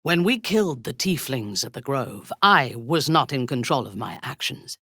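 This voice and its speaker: A woman playing a ruthless, haughty drow warrior zealot in a fantasy video game, speaking in an imperious, menacing and deliberate way. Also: a bass voice, expressive, pushed and bright, breathy edge, no echo, studio quality, full character voice. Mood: grave, intense.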